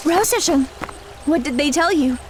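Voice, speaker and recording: A woman acts out an earnest young woman in an audio drama. Her voice is high, and she sounds concerned and inquisitive. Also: animated, moderate pace, music in the background.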